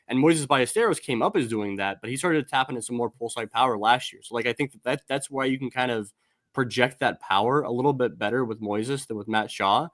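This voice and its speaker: A man's voice: medium pitch, fairly quick, a bit bright, dry recording, studio quality. Man rambling through baseball prospect talk. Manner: rambling, many restarts and fillers. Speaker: podcast host. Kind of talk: casual baseball prospect discussion.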